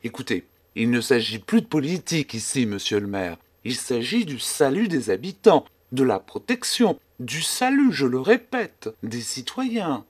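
An actor playing a panicky, pompous small-town notary in a dramatised story, indignant, emphatic and theatrical. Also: male voice, fairly high pitch, sing-song, slow, heavily acted. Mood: solemn, pompous. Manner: theatrical, exclamatory, solemn and emphatic. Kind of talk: dramatised literary audiobook acting.

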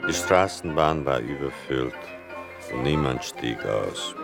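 Very deep, creaky-voiced male narrator. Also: animated, over loud music. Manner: measured, wry, deliberate. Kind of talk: literary scripted narration.

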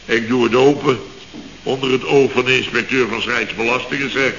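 A man's voice: medium pitch, steady tone, normal speed, a bit bright, a little hiss or hum. A man playing a construction boss, telling a comic story in a radio sketch and peppering it with little tags. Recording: dull, echoey old radio recording.

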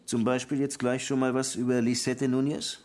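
An actor playing a sardonic, insistent police lieutenant interrogating suspects in a radio crime drama. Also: male voice, mid-range voice, flat delivery, moderate pace, darkish tone, natural voice. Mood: pressing, sardonic. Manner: dry, insistent, pressing.

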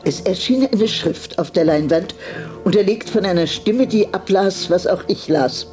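An actress playing a nostalgic woman film lover, narrating in in a measured, deliberate way for a radio drama. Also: medium pitch, warm tone, lightly acted. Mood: absorbed. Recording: music behind the voice.

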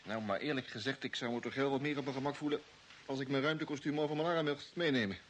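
A man's voice: medium pitch, fast, natural voice. A man acting a nervous, curious, chatty spaceship crewman in a radio drama. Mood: uneasy. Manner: excitable, stammering. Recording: faintly noisy old radio recording.

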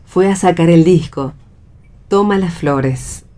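A woman's voice: lowish voice, slow, dark tone. A woman reading stage directions as the narrator of a radio play.

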